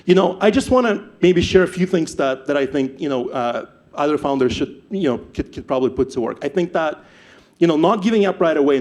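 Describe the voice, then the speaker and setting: Young man, Ukrainian accent, fairly high voice, lively stage storyteller who restarts a lot. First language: not English. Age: young adult. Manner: animated, repeats words and restarts. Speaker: startup founder speaker.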